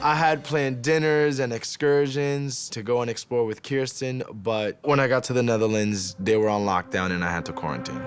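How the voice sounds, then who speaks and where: A man's voice: mid-range voice, normal speed, few pauses. A man in his twenties from the Dominican Republic and New York, telling his own story casually and informally, with plenty of filler phrases. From born in the Dominican Republic, in New York from age eight. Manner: informal and slangy, with frequent "like" and "you know". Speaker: reality show participant, school safety officer and club DJ. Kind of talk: casual personal storytelling to camera. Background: background music.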